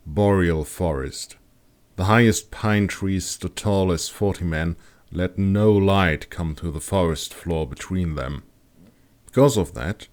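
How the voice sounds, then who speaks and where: A man's voice: low-pitched, darkish tone. A man reading a scripted fantasy story as an audiobook narrator. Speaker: author narrating own audiobook.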